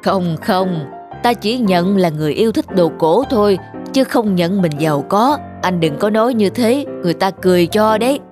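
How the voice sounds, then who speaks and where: A woman voicing a kindly, calm older male antique collector in a moral folk-tale cartoon, measured and gently instructive. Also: medium pitch, over music, natural voice. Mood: modest, kindly.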